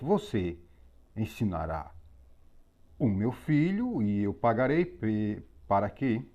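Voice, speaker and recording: A man teaching a religious lesson on Hebrew letters, explaining methodically with pauses and repetition. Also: medium pitch, a little mellow. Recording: slightly dull lo-fi recording.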